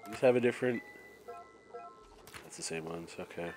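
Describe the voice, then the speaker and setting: Low, raspy-nosed gamer with a cold. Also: male voice, moderate pace. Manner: relaxed, thinking aloud while playing. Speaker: gameplay commentator. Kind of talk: casual let's-play commentary. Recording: faint game music behind.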